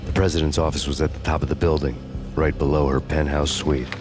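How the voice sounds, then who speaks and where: An actor playing a vengeful, world-weary American detective, narrating a noir game story in a deadpan, sardonic manner. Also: male voice, a bass voice, brisk, over music. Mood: grim, brooding. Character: vengeful world-weary hard-boiled male detective, bitterly wry.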